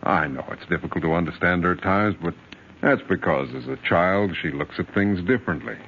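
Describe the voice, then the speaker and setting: A man's voice: sits low, a little fast. An actor playing a patient, doting father and soothing husband in an old radio drama. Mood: reassuring. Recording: dull vintage radio recording, faint noise.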